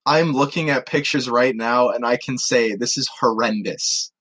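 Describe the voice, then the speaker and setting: A man's voice: mid-range voice, normal speed, noisy background. A man co-hosting a podcast, chatting casually and reacting with exclamations and dismay. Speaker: podcast co-host.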